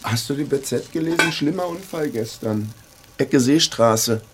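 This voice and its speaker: A man's voice: mid-range voice, a little slow, slightly rough. An actor playing an evasive young boyfriend in a radio drama, hesitant and needling as he hides a secret. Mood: probing, evasive. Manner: hesitant, flippant. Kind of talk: naturalistic radio drama dialogue.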